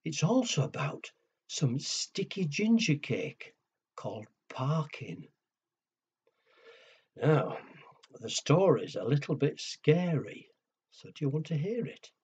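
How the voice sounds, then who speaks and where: A man telling a story to young children, with a husky voice, hushed dramatic pauses and simple questions to his listeners. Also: a little high, very animated. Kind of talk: children's storytelling and nursery rhymes. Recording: dull lo-fi recording.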